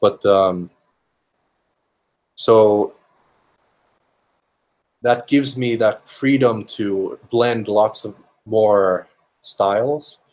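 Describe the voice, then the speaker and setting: A man's voice: on the low side, fairly even intonation, very slow, pauses often, darkish tone, low-quality recording. A young man whose first languages are English and Finnish, a musician giving thoughtful interview answers with many fillers and restarts. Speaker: musician guest.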